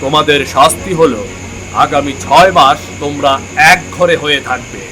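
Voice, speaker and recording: Stern cartoon village headman, authoritative and scolding, played by a man with a slightly high voice, music behind. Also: moderate pace, lightly acted, poor audio. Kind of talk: cartoon voice acting. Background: background music.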